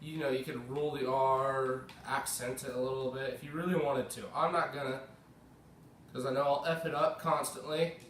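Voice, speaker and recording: A man's voice: mid-range voice, little pitch movement, moderate pace, fairly bright tone, a little hiss or hum. Chatty American man, sick, echoey room. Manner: chatty, rambling, corrects himself. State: slightly sick. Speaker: gaming video creator. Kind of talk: casual gameplay commentary. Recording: echoey room, mic at a distance, faint music.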